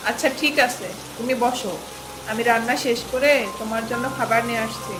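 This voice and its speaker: A woman playing a kind, caring village wife in a cartoon, with a gentle, fussing, motherly manner. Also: a little high, fairly even intonation, a little fast, lightly acted. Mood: soothing. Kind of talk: Bengali moral-story cartoon dub. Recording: echoey, loud music and rain behind.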